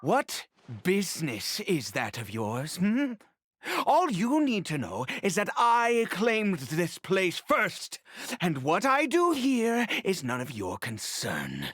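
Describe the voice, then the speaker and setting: A man's voice: high-pitched, wildly swooping pitch, takes it slowly, fairly bright tone, no echo, studio quality, exaggerated voice. A man voicing a guarded, haughty, secretive man in a video game, with an imperious, curt and theatrical delivery. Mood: haughty, irritated. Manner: imperious, curt, dramatic, clipped.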